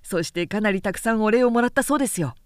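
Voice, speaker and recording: Breezy young male samurai tattler, played by a female reader, rapid blunt chatter, storybook narration. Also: medium pitch, no echo, studio quality, close to an everyday voice. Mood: breezy, gossipy. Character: blithe, blabbing young samurai gossip.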